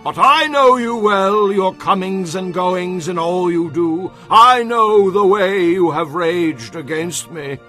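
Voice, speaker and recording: An actor playing a stern prophet who declares God's word in a solemn, emphatic, declamatory way for a dramatized audio Bible. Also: male voice, high voice, takes it slowly, few pauses, pushed and bright, faint music behind, exaggerated voice. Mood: commanding, wrathful.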